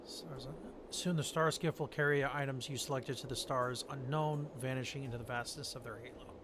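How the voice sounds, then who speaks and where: A man's voice: mid-range voice, steady tone, brisk, background noise. A man giving casual live commentary as he streams a game playthrough. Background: game music.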